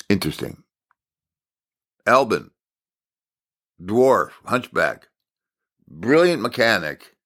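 A low-pitched American man with a gravelly edge to his voice preaches informally. He speaks very slowly, in deliberate broken phrases, and repeats words for emphasis as he tells stories. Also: pushed and bright. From Minnesota, United States. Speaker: Bible teacher, preacher. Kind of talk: informal sermon with storytelling.

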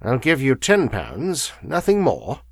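A man playing a stern, cool, methodical lawyer in a narrated story, speaking in a measured, cold way. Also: medium pitch, wildly swooping pitch, husky, no echo, clean studio sound, pitched above the performer's own voice, heavily acted. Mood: cold, firm.

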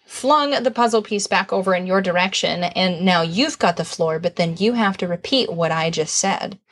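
Woman, lowish voice, chatty and playful, relationship advice co-host. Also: moderate pace. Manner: warm, playful, chatty with fillers. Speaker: podcast co-host. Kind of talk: casual conversational advice.

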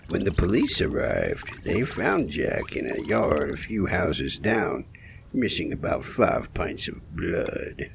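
A man's voice: low-pitched, huge pitch swings, slow pace, exaggerated voice. A man playing a folksy, wry gravedigger who narrates small-town horror tales in an audio play. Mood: wryly macabre. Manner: wry, theatrical. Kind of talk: scripted horror storytelling. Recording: clearly noisy recording.

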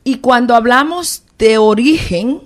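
A woman with a Puerto Rican accent hosting a podcast interview, lively and quick to react. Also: fairly high pitch. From Puerto Rico. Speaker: podcast host. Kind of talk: conversational interview.

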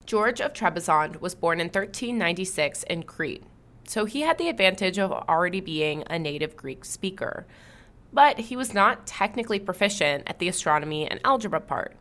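A woman hosting a history podcast, reading scripted narration in a clear storytelling manner and bantering lightly with her co-host. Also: fairly low pitch, expressive, normal speed, a bit bright.

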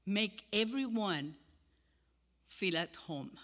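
Slow-speaking older Cuban woman. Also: medium pitch, animated, a bit bright. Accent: Cuban Spanish. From Cuba. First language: Spanish. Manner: slow, earnest, pausing between phrases. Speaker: guest speaker. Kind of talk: sermon. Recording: hall with long reverb.

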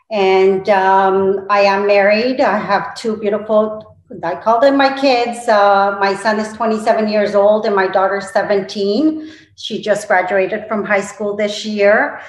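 A woman's voice: medium pitch, fairly even intonation, a little slow, roomy sound, poor audio. A middle-aged woman from Colombia, answering interview questions as a guest real estate agent, with many fillers and restarts.

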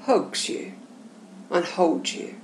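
A woman guiding a meditation session in a soothing, gentle, measured and instructional way. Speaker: guided meditation host. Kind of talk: slow, soothing guided meditation narration. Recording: roomy echo, faint background noise.

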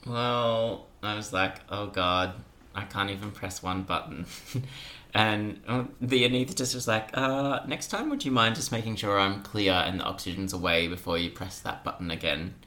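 A young man chats as a podcast guest. His voice is deep yet bright and sharp in tone. He tells anecdotes in a loose, informal way. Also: moderate pace. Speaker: podcast guest, junior doctor. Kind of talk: casual anecdotal storytelling.

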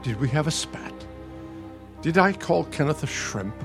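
An actor playing a patient, nostalgic older husband in a radio play, gentle and reflective. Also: male voice, on the high side, expressive, measured pace, faint music behind. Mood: puzzled. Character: patient, nostalgic elderly husband, soothing and wistful.

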